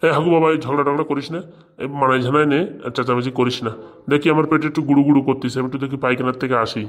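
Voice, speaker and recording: A man's voice: mid-range voice, steady tone, very fast, close to an everyday voice. A man voicing a crude, easily swayed village schoolteacher in a comic cartoon, blustering and repeating himself. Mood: queasy, weary.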